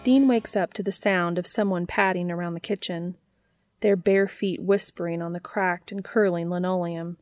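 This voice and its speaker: A woman's voice: fairly low pitch, fairly even intonation, moderate pace, darkish tone, faint music behind. A woman narrating a piece of written fiction in the style of an audiobook.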